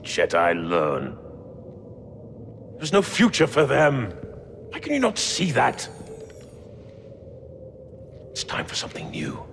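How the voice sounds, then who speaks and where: An actor playing a grizzled, power-hungry fallen master in a video game, theatrical and grandiose. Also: male voice, medium pitch, wildly swooping pitch, slow, creaky, long pauses, fairly bright tone, a little hiss or hum, heavily acted. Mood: scornful, persuasive. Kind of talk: video game cinematic acting.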